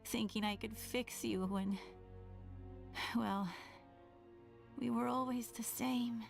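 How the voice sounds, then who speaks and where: A woman voicing a tender, self-blaming younger sibling in a video game drama, weak and resigned, with halting, sighing delivery. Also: on the high side, fairly even intonation, gravelly, faint music behind. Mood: regretful, weak.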